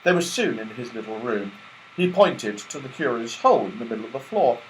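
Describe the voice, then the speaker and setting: A man reading a children's story aloud as an expressive audiobook narrator. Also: medium pitch, a little fast, slight background noise, poor audio. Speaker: audiobook reader.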